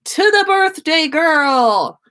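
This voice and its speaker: Woman acting out high-pitched tipsy young party girl. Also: very animated, exaggerated voice. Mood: excited, boisterous. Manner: shouting, drawn-out, whooping delivery. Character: tipsy, excitable young women partying on a birthday.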